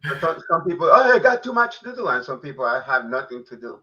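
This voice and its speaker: A man's voice: on the high side, big pitch swings, fast, sharp tone, lo-fi sound. A male co-host of a casual talk show, easygoing and joking, nodding along. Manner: easygoing, joking, lots of mm-hmms.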